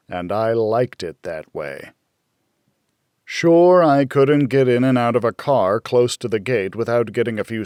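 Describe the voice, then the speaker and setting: A man reading a hardboiled first-person thriller audiobook in a measured, deliberate storytelling manner, close to the microphone. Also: medium pitch, darkish tone, no room sound. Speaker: audiobook narrator.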